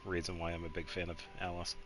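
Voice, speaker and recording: A man's voice: deep voice, monotone, fast talker, fairly bright tone, soft background music. A man giving casual, offhand playthrough commentary, with wry asides. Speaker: gaming streamer.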